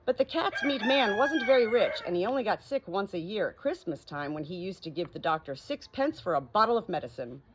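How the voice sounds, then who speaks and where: A woman with a medium-pitched voice tells stories in an informal, expressive way. Her intonation is lively and emphatic, made for children's books. Farmyard noise with crowing fowl is faintly audible behind her. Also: fairly quick, few pauses. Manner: animated, emphatic, drawing out key words. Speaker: audiobook reader. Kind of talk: expressive children's storybook narration with informal introduction. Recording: noisy farmyard ambience, roosters crowing. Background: farm animals, crowing roosters.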